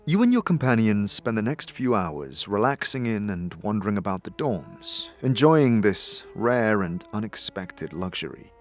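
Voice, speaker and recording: A man's voice: fairly low pitch, sing-song, measured pace, few pauses, soft background music. A man narrating a scripted fantasy audio drama in a dramatic storytelling manner. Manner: dramatic, storytelling in second person. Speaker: narrator, game master.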